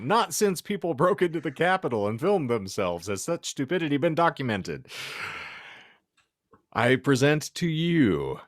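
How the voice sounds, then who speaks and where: A man's voice: mid-range voice, no room sound, clean studio sound. A man hosting a casual podcast with a theatrical, mock-solemn turn of phrase. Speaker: podcast host. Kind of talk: casual banter and hypothetical read-out.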